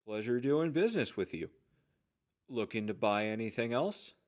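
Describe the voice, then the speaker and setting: An eager small-town trader of the wasteland, played by a man, talks in obliging sales patter. His voice sits at an ordinary middle pitch, as acted for an audio drama about life after the apocalypse. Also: lively intonation, moderate pace, noisy background, close to an everyday voice. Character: chatty, obliging male general-store trader in a wasteland town. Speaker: voice actor playing a shopkeeper.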